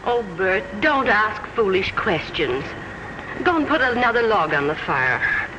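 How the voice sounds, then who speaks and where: Brisk, scolding tavern keeper; 1940s radio drama with a noisy, dull sound. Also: female voice, mid-range voice, very animated. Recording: dull old radio recording with hiss.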